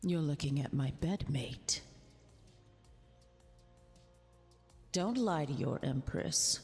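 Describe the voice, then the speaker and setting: A woman's voice: low voice, lively intonation, gravelly, darkish tone, full character voice. A woman voicing a vain, possessive eldritch empress in an ASMR roleplay, with formal, condescending, intimate delivery. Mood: cold, jealous command. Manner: imperious, condescending, formal diction, drawn-out delivery. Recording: long reverb, music behind. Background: music.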